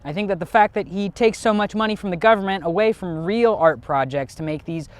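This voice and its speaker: A man's voice: high-pitched, fairly quick. A young man giving blunt, sarcastic opinions and sketch banter in a comedic video essay.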